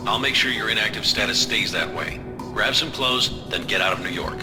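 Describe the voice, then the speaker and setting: A man's voice: fairly low pitch, normal speed, lightly acted. An actor playing a stern, blunt male intelligence chief in an audio drama, terse and authoritative. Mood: firm. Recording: music behind the voice.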